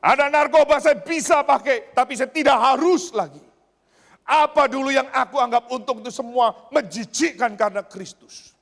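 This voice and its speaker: High-voiced male preacher in echoing hall. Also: normal speed, vocal fry. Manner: emphatic, repeats phrases for effect; swings between raised exclamations and hushed asides. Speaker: pastor. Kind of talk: church sermon. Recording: long hall reverb.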